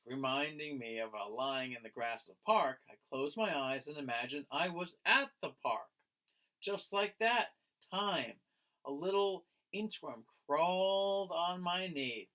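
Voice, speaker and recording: A man reading a children's picture book aloud like a teacher, in an expressive, dramatic manner. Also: on the high side, low-quality recording. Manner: dramatic, acting out the lines.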